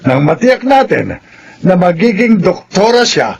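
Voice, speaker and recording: A man's voice: high voice, sing-song, measured pace, creaky, muffled recording, a little hiss or hum, heavily acted. A Filipino man playing a firm, dismissive grandfather in a radio drama.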